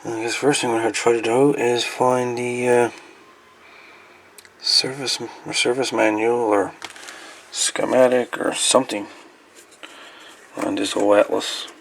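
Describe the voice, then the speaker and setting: A man with a creaky, gravelly voice gives casual, halting hands-on repair commentary, muttering to himself. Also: medium pitch, level tone, a little hiss or hum. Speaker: host of a radio repair video.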